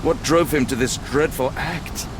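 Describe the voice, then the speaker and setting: An actor playing a loyal, steadfast companion in a video game, speaking earnestly and formally with a British accent. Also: male voice, mid-range voice, measured pace, background noise, close to an everyday voice. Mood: troubled. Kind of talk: dramatic video game voice acting. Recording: music behind the voice. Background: game music.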